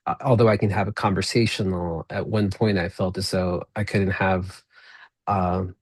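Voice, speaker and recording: Male podcast host from Peru, Spanish first language, lowish voice, dry sound, reflective and halting. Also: little pitch movement, breathy edge, no room sound. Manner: reflective, paraphrases back, frequent restarts. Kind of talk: conversational interview.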